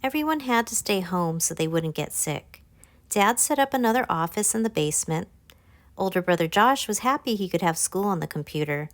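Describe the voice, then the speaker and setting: A woman narrating a children's picture book, reading aloud in simple, clear phrases for young listeners. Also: medium pitch, expressive, normal speed, no room sound. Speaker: author narrating her own children's book. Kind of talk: scripted children's storybook narration.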